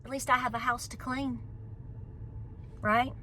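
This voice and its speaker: A woman's voice: fairly high pitch, steady tone, measured pace, pushed and bright, no echo. A middle-aged woman with a Southern Appalachian accent, giving an emphatic, folksy pep talk in a casual vlog style. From United States. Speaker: homesteading vlogger.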